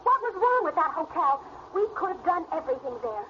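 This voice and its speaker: High-pitched sulky teenage girl. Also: expressive, brisk. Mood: sulky, petulant. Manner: whiny, petulant. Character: sulky, anxious sixteen-year-old daughter on a family trip. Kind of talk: radio drama acting. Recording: dull, noisy radio recording.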